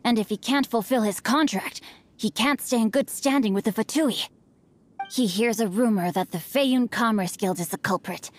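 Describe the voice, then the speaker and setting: A woman voicing a refined, bookish young male swordsman in a video game, speaking eloquently and formally with calm assurance. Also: a little high, moderate pace, slight background noise, lightly acted.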